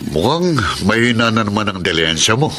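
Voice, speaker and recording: A man's voice: lowish voice, sing-song, brisk, noisy background. A man playing a scheming street boss and absent father in a Filipino radio drama, dramatic and persuasive. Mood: casual, bossy.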